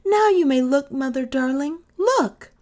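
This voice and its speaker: A woman voicing two eager young children in a storybook reading, high and exclamatory. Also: animated, measured pace, a little mellow. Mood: excited.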